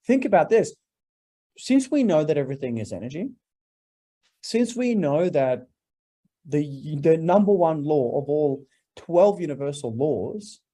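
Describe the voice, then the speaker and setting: Forties male guest from Norway, mellow tone, slightly higher pitch, earnest and preacherly. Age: 40s. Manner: earnest, preacherly, emphatic lists. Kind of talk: interview, motivational talk.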